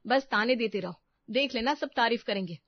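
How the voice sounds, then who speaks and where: Lady doing a snooty indignant housewife boss, cartoon story, pitched up, dry lo-fi sound. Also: brisk, dry recording, lightly acted. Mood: defiant. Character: indignant, vain well-off housewife employer. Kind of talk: cartoon moral story dialogue.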